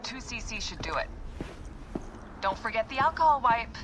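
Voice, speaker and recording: An actress playing a brisk modern researcher woman, dry and teasing. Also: fairly high pitch, big pitch swings, noisy background.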